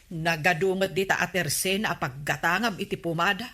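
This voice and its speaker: An actress playing a fretful, gossipy village wife with a Filipino accent, complaining emphatically in a radio comedy drama. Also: female voice, on the low side, normal speed, bright, forceful tone.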